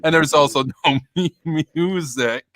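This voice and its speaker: A man hosting a casual stream, talking in a rambling, animated way with many fillers and restarts. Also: fairly high pitch, a little slow, dry recording. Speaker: stream host. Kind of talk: casual chat.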